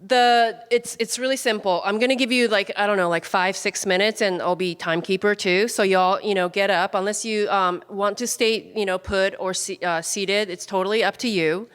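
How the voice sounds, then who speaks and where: A woman's voice: medium pitch, steady tone, moderate pace, hardly any pauses, fairly bright tone, clear, resonant tone. A woman casually leading a group icebreaker in a large hall, chatty with many fillers. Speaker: workshop facilitator. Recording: hall reverb from a room mic.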